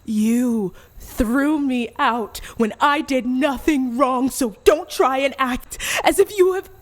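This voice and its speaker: A woman acting as a wounded, bitter young daughter in a radio play, breathy and accusing, stressing her words with emotion. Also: sits high, slow. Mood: bitter, hurt.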